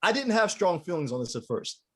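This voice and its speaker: A man's voice: high-pitched, very animated, a little fast, fairly bright tone, breathy edge. A man co-hosting a casual faith-based talk show who talks in slangy, emphatic bursts and laughs mid-sentence. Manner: slangy and emphatic, keeps asking "you know what I'm saying?"; laughs while talking. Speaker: podcast co-host.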